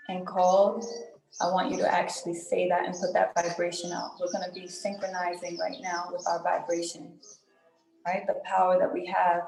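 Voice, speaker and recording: A woman leading a guided group meditation in an unhurried, soothing way, pausing often between phrases. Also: on the low side, a little mellow. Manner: unhurried, soothing instruction with pauses and check-in tags. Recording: roomy echo with music behind.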